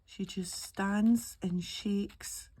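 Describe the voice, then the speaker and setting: A middle-aged Scottish woman, slightly croaky with a cold, chatting and thinking aloud as she narrates a casual craft tutorial. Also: medium pitch, steady tone, extremely slow, warm tone. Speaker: craft channel host. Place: indoor craft table. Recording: clearly audible background noise, handling clicks.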